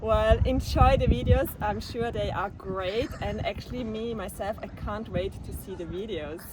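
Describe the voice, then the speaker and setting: A woman's voice: medium pitch, normal speed, over a noisy crowd, low-quality recording. A woman from Switzerland with a Swiss German accent, cheerfully chatting about her trip. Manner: cheerful, playful. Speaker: fellow traveler. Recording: crowded outdoor background.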